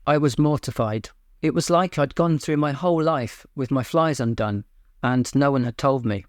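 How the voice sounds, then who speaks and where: A man hosting his own channel, speaking in a flat, deadpan monotone in a scripted personal monologue. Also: mid-range voice, moderate pace, no echo, studio quality. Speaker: YouTube channel host. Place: home.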